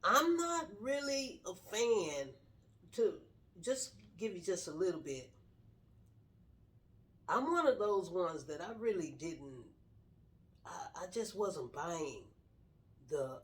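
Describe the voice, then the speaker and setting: A woman's voice: on the low side, very animated, slow, pauses often. A middle-aged woman sharing her personal story casually in an earnest, straightforward way. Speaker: guest telling personal story, wife of the host.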